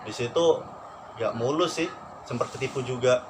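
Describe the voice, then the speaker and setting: A young man from Probolinggo with a Madurese-tinged Indonesian accent, telling stories candidly and in a relaxed way in a casual chat. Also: medium pitch, normal speed. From Probolinggo, East Java. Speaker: radio announcer and aspiring actor. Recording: noisy background.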